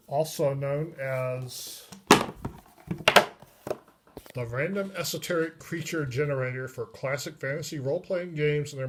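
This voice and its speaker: A man giving a casual, unscripted review of a tabletop game book, pausing often mid-sentence. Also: mid-range voice. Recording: noisy recording with clicks.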